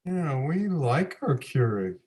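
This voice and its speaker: A man's voice: medium pitch, sing-song, slow pace, darkish tone. An actor playing a snide, fussy father of sixty, reading comedic dialogue in a table read.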